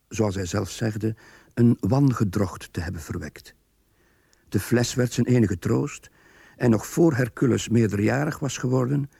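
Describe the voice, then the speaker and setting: A man narrating a period radio drama in measured, literary Flemish-accented Dutch. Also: mid-range voice, gravelly, warm tone, husky, no echo, clean studio sound. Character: measured male storyteller of a period tale.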